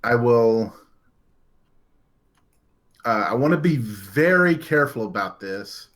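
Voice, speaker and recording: A man's voice: medium pitch, animated, fairly bright tone. A man playing in a tabletop game, speaking carefully and casually. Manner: unhurried, deliberate. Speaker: player. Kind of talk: casual chat.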